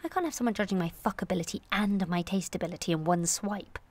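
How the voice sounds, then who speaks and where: A woman in her late twenties performing a confessional comic monologue in a candid, wry, self-questioning way, moving between confiding and animated. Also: lowish voice, quick pace, no echo. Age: late 20s. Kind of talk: confessional comic audio monologue, present-tense narration.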